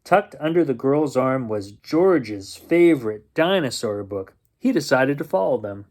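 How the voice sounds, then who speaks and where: A man reading a children's picture book aloud as a bedtime storyteller, expressively and with drawn-out suspense. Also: mid-range voice, animated, a little mellow.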